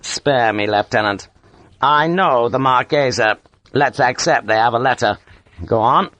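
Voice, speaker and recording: A man voicing a gruff, scarred soldier in an audiobook story, curt, blunt and scornful, with a growl. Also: medium pitch, unhurried, fairly bright tone, no echo, lightly acted. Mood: scornful, impatient. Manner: curt, blunt, impatient, swearing.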